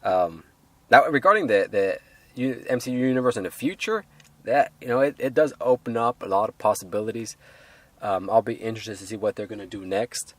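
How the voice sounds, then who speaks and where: A man reviewing a film in a casual, unscripted way, halting and rambling as he hedges his points. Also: fairly low pitch, no room sound.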